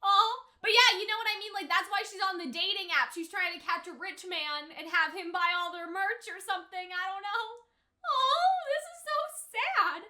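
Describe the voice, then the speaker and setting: Very high, bright-voiced woman reacting casually. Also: normal speed. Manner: slangy, exclamatory, emotionally expressive. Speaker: reaction video host.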